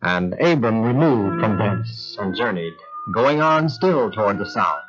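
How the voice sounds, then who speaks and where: A man's voice: fairly low pitch, lively intonation, a little slow. A man narrating a scripted biblical drama in a solemn storytelling manner. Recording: muffled sound with music underneath. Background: music.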